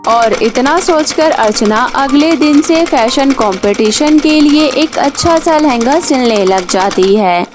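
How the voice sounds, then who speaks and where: A woman narrating a cartoon moral story in a calm, measured way. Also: sits high. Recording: faint music behind.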